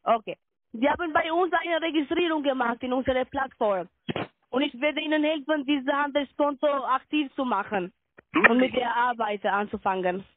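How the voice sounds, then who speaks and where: Female telesales agent, high voice, insistent, halting non-native phrasing, narrow telephone band. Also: noisy background. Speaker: call centre sales agent. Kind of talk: scripted sales call. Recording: narrow tinny phone line.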